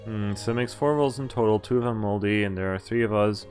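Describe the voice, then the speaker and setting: A man's voice: fairly low pitch, quick pace, darkish tone, soft background music. A man streaming a game, reading its story text aloud with casual, amused, sweary commentary.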